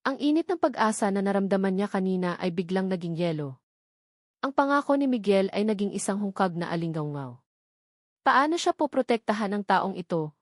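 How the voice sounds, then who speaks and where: A woman reading a romance story aloud in a steady, emotive, unhurried narration style. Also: medium pitch, no room sound, clean studio sound. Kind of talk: dramatic scripted story narration.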